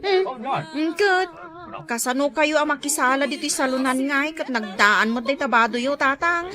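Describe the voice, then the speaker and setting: A woman's voice: sits high, moderate pace, lightly acted. A woman with an Ilocano accent playing an outspoken, nagging grown daughter in a comedy drama. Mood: exasperated.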